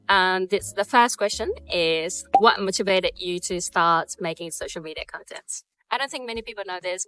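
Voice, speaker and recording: A woman's voice: medium pitch, a bit bright. A Japanese woman in her twenties with a Japanese accent tinged with Australian and British sounds, chatting casually through a Q&A vlog, bubbly and full of "like" fillers. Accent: Japanese with Australian and British traces. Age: 20s. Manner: bubbly, lots of "like" fillers and laughs. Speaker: vlogger.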